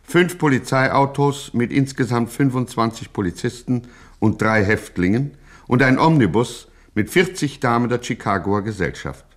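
A man's voice: medium pitch, moderate pace, close to an everyday voice. A man narrating a gangster satire as a mock-solemn storyteller in scripted radio style.

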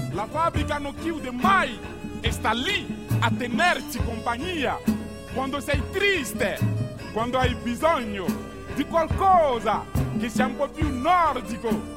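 A man narrating a theatrical monologue on stage, emphatic and deliberate, in short declaimed phrases. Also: very high-pitched, animated. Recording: long hall reverb, live music behind. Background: live musical accompaniment.

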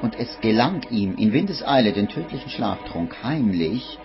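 Grave storyteller in old radio play, low voice, suspenseful, muffled recording with music under it. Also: male voice, sing-song, normal speed. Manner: dramatic, suspenseful. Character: grave male narrator of a dark tale. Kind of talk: scripted radio drama narration, 1950s.

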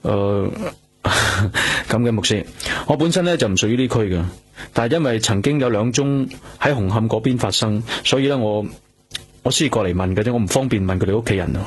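Low-voiced Hong Kong police detective. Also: male voice, brisk. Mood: polite, probing. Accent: Hong Kong Cantonese. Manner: polite, hesitant, conversational questioning. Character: earnest male police detective, self-reproaching, gentle with companion. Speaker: actor in a radio drama. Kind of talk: naturalistic radio drama dialogue. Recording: clear background noise with hum.

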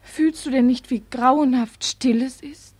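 A woman's voice: sits high, fairly even intonation, unhurried, a little mellow, breathy edge. A woman playing a lonely soldier's wife in a 1940s radio drama, theatrical, swinging between teasing and tender pleading. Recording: old 1940s radio studio recording.

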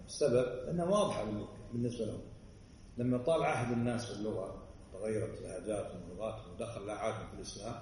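Fast-talking man teaching in an echoey room. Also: mid-range voice, mellow, a little hiss or hum. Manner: checks understanding with quick asides. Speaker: religious teacher. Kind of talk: explanatory religious lesson. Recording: roomy, distant mic, faint ambience.

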